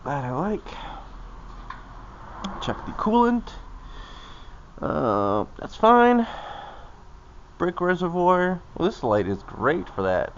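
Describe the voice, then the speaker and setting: A man's voice: on the high side, big pitch swings, slow, long pauses, muffled recording, slight background noise. A man casually talking himself through a hands-on task as a vlogger, in short, loose, muttered phrases. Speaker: vlogger doing his own car maintenance.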